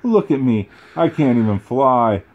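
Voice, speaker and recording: A man's voice: mid-range voice, expressive, a little slow, phone-quality sound, voice pushed up. A man voicing a bold, self-serious male space ranger toy with commanding, dramatic declarations. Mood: despairing.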